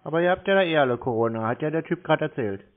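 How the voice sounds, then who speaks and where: Deadpan man on a tinny phone line. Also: fairly high pitch, rapid-fire. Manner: deadpan, curt, mocking. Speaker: scam-baiter taking phone calls. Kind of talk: unscripted phone conversation. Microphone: telephone call recording.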